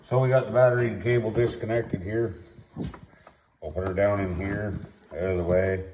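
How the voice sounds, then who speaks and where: A man's voice: lowish voice, fairly even intonation, moderate pace, echoey room, background noise. A middle-aged man with a sore throat hosting a casual garage repair video, rambling and folksy with lots of fillers, filmed by his son. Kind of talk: casual how-to commentary. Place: home garage. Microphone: camera held by his son.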